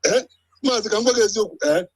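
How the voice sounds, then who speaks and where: A man's voice: high voice, animated, racing, breathy edge, dry recording. A Nigerian man joining a live stream as a guest, talking insistently and checking in with the host. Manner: insistent, keeps checking the listener with "eh?". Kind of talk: casual chat mixing Igbo and English.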